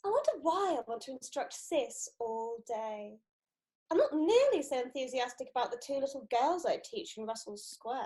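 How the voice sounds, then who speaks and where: Casting: woman playing prim young English music mistress, farce; very high pitch, highly animated, affectionate and proper. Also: normal speed. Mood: prim, wistful. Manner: proper, expressive. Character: prim, doting young female music teacher. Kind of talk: theatrical farce reading.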